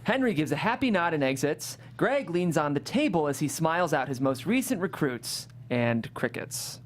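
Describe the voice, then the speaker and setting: A woman's voice: low-pitched, sing-song, moderate pace. A woman hosting a panel and reading out script narration. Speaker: host and narrator.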